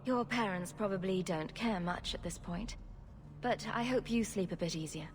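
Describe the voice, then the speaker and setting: A woman's voice: medium pitch, moderate pace, noisy background, natural voice. A woman playing a self-assured adventurer in a fantasy video game, with a dry, flippant way of talking. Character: wry, self-assured female adventurer for hire. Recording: music playing behind the voice.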